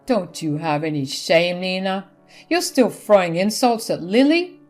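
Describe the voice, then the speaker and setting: A woman's voice: on the low side, animated, unhurried, a little mellow. A woman voicing a stern middle-aged husband who reproaches his wife, over faint music.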